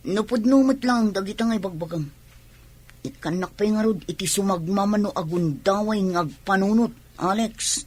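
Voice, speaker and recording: A woman's voice: mid-range voice, normal speed, darkish tone, natural voice. A woman playing a coy young niece who is being courted, in an Ilocano-accented radio drama.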